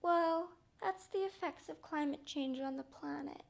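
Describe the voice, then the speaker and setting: A woman hosting a documentary, presenting in an animated, expressive way. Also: high voice, unhurried, creaky. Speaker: documentary host. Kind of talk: presenting narration.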